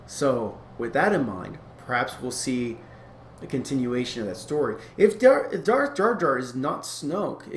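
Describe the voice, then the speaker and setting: A man giving a casual, informal explainer, talking in a halting, rambling way and often correcting himself. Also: medium pitch. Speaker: fan-theory video creator. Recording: echoey room, clear background noise.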